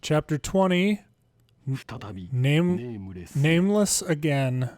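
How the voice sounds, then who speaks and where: A man's voice: on the high side, sing-song, slow, darkish tone, dry recording. A man narrating a video game story, reading scripted dialogue and text aloud. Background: faint game music.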